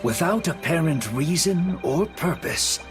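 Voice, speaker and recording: A man narrating a video game story in a solemn, deliberate, ominous storytelling style. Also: a little high, animated. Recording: music behind the voice. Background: prominent music.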